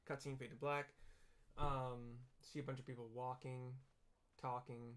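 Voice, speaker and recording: A man casually describing video game scenes aloud, explaining step by step in a halting way. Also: medium pitch, flat delivery, a bit bright, faint music behind. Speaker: gaming streamer narrating for visually impaired viewers.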